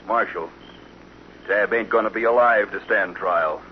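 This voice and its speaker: An actor playing a grim, stubborn leader of a western lynch mob in an old radio drama, defiant and unyielding. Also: male voice, fairly low pitch, a little slow, natural voice. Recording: dull vintage radio recording, faint noise.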